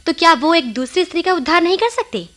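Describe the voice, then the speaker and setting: An actress in a radio play playing a resolute, wounded wife, speaking in an earnest, dramatic way. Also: female voice, very high voice, lively intonation, quick pace, fairly bright tone. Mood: defiant. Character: resolute, wounded wife arguing with her husband.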